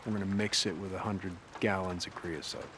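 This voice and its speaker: An actor playing a rugged, defiant biker survivor in his thirties in a video game, with a gruff voice. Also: low voice, fairly even intonation, brisk, a bit bright, slight background noise. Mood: grim, determined. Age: 30s. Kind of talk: dramatic video game cutscene acting.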